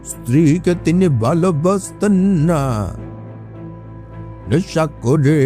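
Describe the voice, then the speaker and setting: Guy doing an old village gossip for a cartoon, disapproving, drags words out, highish pitch, bright voice. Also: animated, slow pace, faint music behind. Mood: knowing, disapproving.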